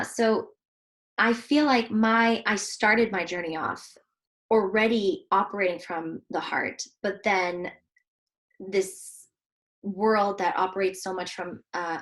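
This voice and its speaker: A woman from Switzerland, a heart coach hosting a casual interview, speaking earnestly and reflectively with many fillers. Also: fairly low pitch. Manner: earnest, reflective, soft-spoken with frequent fillers.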